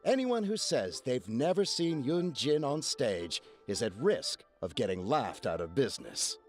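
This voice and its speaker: An ingratiating businessman in a video game. He takes his time, with a lively, swinging pitch and a smooth-talking, status-conscious manner over faint music. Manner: ingratiating, unhurried.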